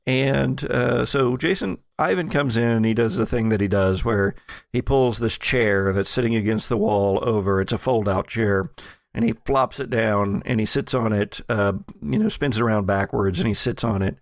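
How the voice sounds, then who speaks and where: A man's voice: fairly low pitch, moderate pace, gravelly, dry recording. A man working as game master, narrating an improvised tabletop scene conversationally in the present tense.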